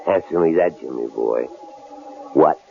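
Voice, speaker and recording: An actor playing a menacing, mocking killer in a radio drama, with a gravelly voice and a taunting, needling way of talking. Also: male voice, rumbling low, unhurried, exaggerated voice. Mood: needling, bitter. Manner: taunting, folksy, needling questions. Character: menacing male killer intruder, mocking, coolly philosophical, tough-talking. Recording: tinny, noisy old radio recording.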